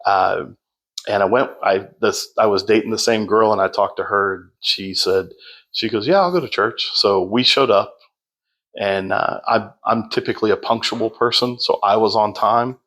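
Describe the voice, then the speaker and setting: Unhurried low-voiced man with a projecting voice. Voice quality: loud, projecting voice. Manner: unhurried, with many fillers and restarts. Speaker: podcast guest. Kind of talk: casual storytelling.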